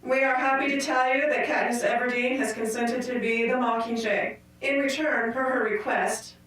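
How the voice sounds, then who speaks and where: A woman playing a stern president in a radio drama, making a formal, deliberate announcement to a crowd with authority. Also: on the high side, fairly even intonation, a bit bright, lightly acted. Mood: stern, official. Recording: distant, very echoey announcement in a hall.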